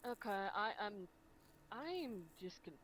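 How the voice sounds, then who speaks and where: Bright-voiced man over noisy voice chat. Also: high-pitched, very animated, takes it slowly. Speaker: roleplay participant. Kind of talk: improvised in-character roleplay chat. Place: online voice chat in a virtual world.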